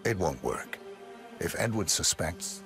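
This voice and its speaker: Male composed hitman for a game; very low, slow, understated delivery. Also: animated, vocal fry, over music, heavily acted. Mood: guarded, quiet. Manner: measured, understated, controlled. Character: cold, composed male professional assassin. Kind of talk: video game cinematic acting.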